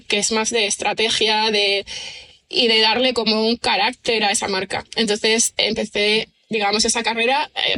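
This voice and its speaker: A woman's voice: medium pitch, sharp tone. A woman, a writer chatting as an interview guest, rambling with many fillers. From Almería, Spain. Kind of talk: casual interview chat.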